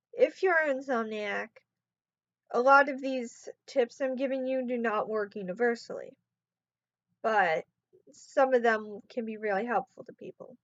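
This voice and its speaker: A sleep-deprived woman talks loosely to her camera, rambling and trailing off as her voice wears hoarse. Her voice sits a little high. The sound is dull and muffled, in a dead, dry room. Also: fairly bright tone, dry recording. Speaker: vlogger. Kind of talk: casual talk to camera.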